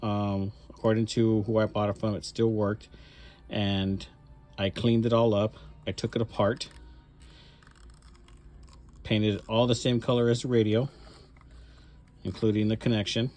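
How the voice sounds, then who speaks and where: A man with a fairly low voice talks casually as a hobbyist showing off handmade work. He explains things step by step in a loose, rambling way, like an informal show-and-tell. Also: flat delivery, unhurried, pauses often, darkish tone. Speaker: hobbyist presenter showing a cosplay prop mod.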